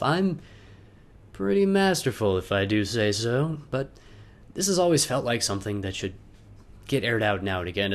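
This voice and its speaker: Young adult male for a theatrical first-person letter read to camera; halting, emphatic, with dry asides. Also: medium pitch. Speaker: video letter narrator.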